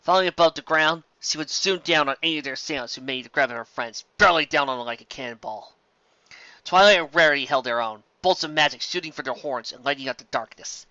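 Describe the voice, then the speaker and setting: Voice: medium pitch, fast talker, sharp tone, dry recording, muffled recording. A narrator reading a fantasy story aloud in a dramatic scripted style.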